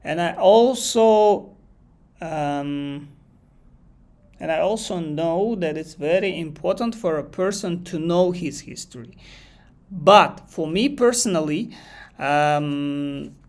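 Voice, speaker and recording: Kazakh-accented male tutor, a touch high-pitched, unscripted live class, loose and repetitive phrasing. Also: takes it slowly. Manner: thinks aloud, repeats phrases, reads chat questions aloud. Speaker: English exam teacher. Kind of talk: live online lesson.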